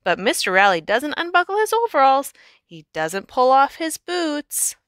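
Lively woman reading a children's book. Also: sits high, normal speed, no echo, studio quality. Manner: animated storyteller delivery with emphatic refrains. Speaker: read-aloud narrator. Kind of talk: expressive children's picture book read-aloud.

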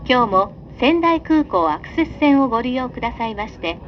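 High-pitched woman, formal train announcer, polite and precise, unhurried pace, dull recording. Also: big pitch swings, a little hiss or hum. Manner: polite, formal, carefully enunciated. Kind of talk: scripted onboard train announcement. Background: train ambience.

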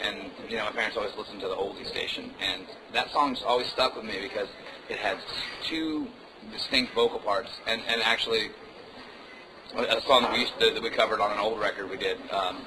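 Low-voiced man rambling casually in a noisy club. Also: fairly quick. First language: Indonesian. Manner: relaxed, rambling, many fillers and "man". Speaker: musician, interview guest. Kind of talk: casual interview chat. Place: music club.

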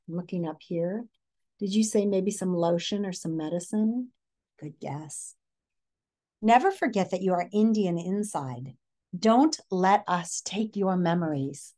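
A middle-aged American woman gives a picture book read-aloud at an everyday mid-range pitch. Her delivery is expressive and instructive, moving between the text and questions addressed to young listeners. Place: living room, indoors.

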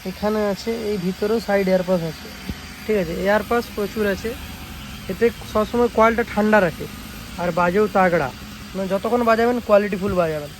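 A man with a West Bengal Bengali accent casually presenting products in a vlog, with emphatic, repetitive sales talk and frequent check-in tags, recorded on a phone. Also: high-pitched. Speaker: speaker repair shop owner and video host. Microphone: phone camera. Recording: noisy, engine-like hum and hiss.

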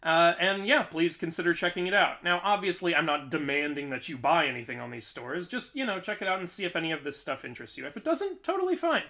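A man's voice: fairly high pitch, animated, quick pace, hardly any pauses, pushed and bright. A young adult man chatting casually and informally to camera as a video host. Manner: informal, conversational, frequent "like" and "you know". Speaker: YouTube host. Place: small bedroom at home.

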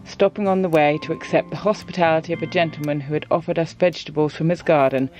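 A woman's voice: low voice, fairly even intonation, fast talker, darkish tone, soft background music, low-quality recording. Wry New Zealand woman vlogging. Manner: dry, wry humour. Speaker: sailing vlogger. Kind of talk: casual vlog narration.

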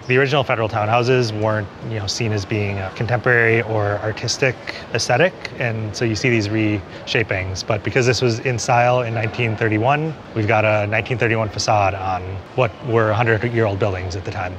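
A man's voice: on the low side, steady tone, a little fast, few pauses. A man in his forties, an architect, giving an on-camera walking tour outdoors, explaining buildings in a conversational way with many small hedges. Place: outdoors on a city street. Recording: city street noise behind.

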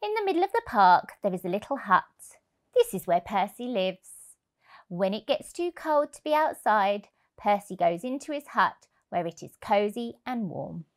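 A woman in her thirties narrating a picture book for young children, expressively and deliberately. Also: mid-range voice, sing-song. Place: indoor room, on a sofa. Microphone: lapel mic.

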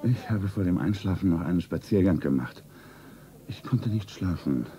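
An actor playing an ailing, tender, secretive rich lover in a romance radio play, husky and breathy, solemn and weary. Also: male voice, low voice, expressive, moderate pace, warm tone. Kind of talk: 1970s German radio play, pulp romance. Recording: dull old radio play tape.

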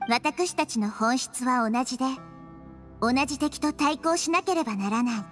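A woman voicing a wise, gentle young girl deity in an anime game, speaking with measured, thoughtful resolve. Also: high-pitched, animated, soft background music. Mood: solemn, firm. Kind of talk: Japanese anime game voice acting.

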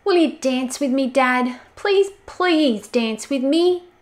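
Storybook casting: bratty young girl bird, played by a female narrator, very high, honking, pleading and pushy. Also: expressive, slow pace. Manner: honking, loud, harsh, pleading. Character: whiny, pushy young female brolga, demanding to dance. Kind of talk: storybook character voice in a read-aloud.

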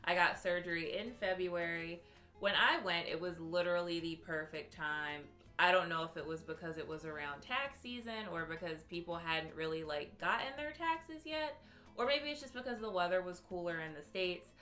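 A woman's voice: lowish voice, moderate pace, bright, forceful tone, soft background music. A young woman talking casually to the camera in a filler-heavy, chatty way, recorded at a desk in a small room. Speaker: vlogger. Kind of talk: casual direct-to-camera review. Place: small indoor room with shelves.

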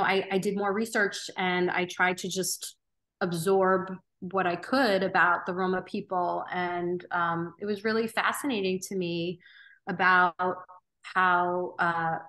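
Bright-toned woman, thoughtful podcast guest. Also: lowish voice, steady tone, unhurried. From Romania. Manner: earnest, thoughtful, frequent ums and restarts. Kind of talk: reflective interview conversation.

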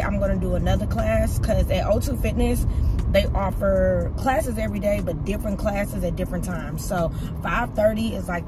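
Chatty woman vlogging from her car. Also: medium pitch. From United States. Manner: chatty, upbeat, drawn-out emphasis on words. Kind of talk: casual vlog chat to viewers. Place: parked car, indoors. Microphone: phone camera on dashboard. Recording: loud car and street noise. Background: loud car and outdoor ambience.

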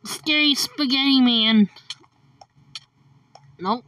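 High, slow-talking woman, slightly distant. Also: fairly bright tone, no echo. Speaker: player in a Minecraft roleplay. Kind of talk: casual game roleplay, short reactions.

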